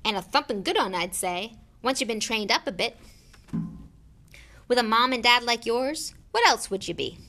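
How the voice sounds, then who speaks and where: A woman voicing a huge, indignant but big-hearted storybook man with a booming growl and blunt rustic speech. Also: lively intonation, normal speed, pushed and bright, soft background music. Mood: blunt, proud. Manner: rustic dialect, blunt, emphatic.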